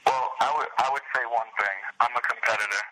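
A young man in his twenties whose first language is French, answering interview questions in an earnest, measured way. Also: mid-range voice, steady tone, sharp tone, poor audio. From Haitian family. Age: 20s. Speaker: football prospect guest.

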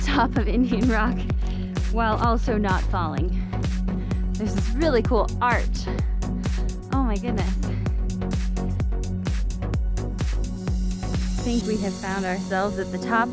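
A woman's voice: mid-range voice, sing-song, takes it slowly, pauses often. A young woman narrating a hiking vlog outdoors in a bubbly, teasing way, recorded on an action camera on a mountain. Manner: bubbly, teasing, laughs often. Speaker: vlogger hiker. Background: background music.

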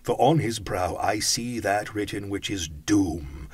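A solemn, sorrowful Christmas spirit, as a man puts him on for a storybook reading, growing old. He speaks in grave, booming warnings with a low, husky voice, recorded dry and close. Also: takes it slowly, no room sound, full character voice.

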